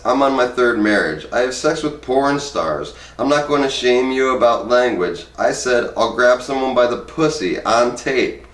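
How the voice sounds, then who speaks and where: A man with a fairly low voice reads aloud and chats informally, like an amateur host sharing excerpts. He sounds a little set back from the microphone, in a clearly echoey room. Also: fairly bright tone. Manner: informal, rambling, halting when speaking off the cuff. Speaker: host reading book excerpts aloud and commenting. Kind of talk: reading aloud and casual commentary. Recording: roomy echo, distant from the mic.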